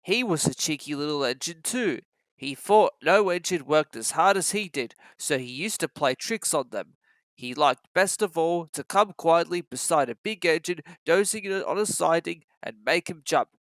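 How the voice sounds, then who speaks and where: A narrator reading a children's story aloud in an expressive, scripted style. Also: mid-range voice, moderate pace, no room sound.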